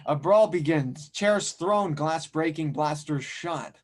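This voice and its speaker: A man's voice: on the high side, slow. A man reading stage directions as narrator in a scripted audio drama table read.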